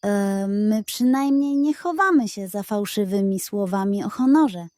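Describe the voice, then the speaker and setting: A woman's voice: medium pitch, lively intonation, a little slow, a little mellow, no room sound, clean studio sound, natural voice. A woman voicing fierce animal tribe warriors in a children's story, menacing and full of scorn. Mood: scornful taunting.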